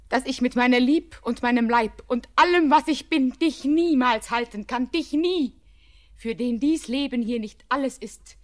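An actress voicing Anna, a young bride torn between tenderness and despair, moving between hushed whispers and passionate outbursts. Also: female voice, a little high. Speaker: lead actress. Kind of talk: classic radio play acting.

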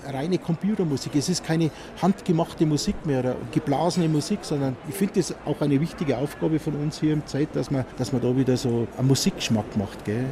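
Bavarian bandleader talking over street noise. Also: male voice, on the high side, a little fast, few pauses. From Munich, Bavaria. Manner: relaxed, chatty, with tag questions. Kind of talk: casual interview. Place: outdoors at a festival ground. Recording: outdoor traffic noise behind.

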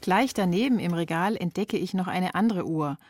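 A woman's voice: lowish voice, normal speed, darkish tone, slight background noise. A woman reporter reading scripted narration for a radio feature. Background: music.

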